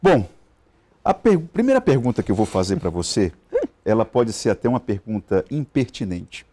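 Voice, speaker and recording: A man's voice: on the low side, big pitch swings, moderate pace, warm tone. A male TV host interviewing a guest in a studio, talking with many fillers and restarts. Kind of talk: live TV interview.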